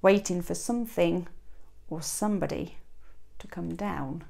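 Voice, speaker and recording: A woman in her thirties reading a children's picture book aloud like a teacher, with expressive emphasis and dramatic pauses. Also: on the low side, gravelly. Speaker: teacher reading to a young class. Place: small classroom, indoors. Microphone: clip-on lapel mic.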